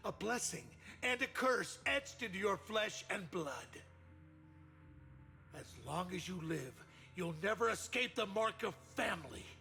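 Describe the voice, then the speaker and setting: Creaky evil noble uncle from a video game, sharp bright voice, domineering and theatrical. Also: male voice, sits high, animated, measured pace, exaggerated voice. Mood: gloating menace. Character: sinister uncle, head of a ruthless noble family, menacing. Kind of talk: video game villain acting. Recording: music under the voice.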